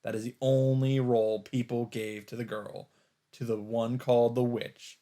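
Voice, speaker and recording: A man's voice: medium pitch, level tone, moderate pace, mellow. A man hosting a let's play, reading a visual novel's narration aloud in a dramatic storytelling style. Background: game music.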